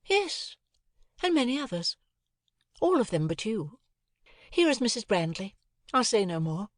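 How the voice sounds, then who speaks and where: A man's voice: high voice, very animated, unhurried, dry recording, clean studio sound, voice pushed up. A man voicing a cool, aloof young woman. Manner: lofty, cool, dismissive.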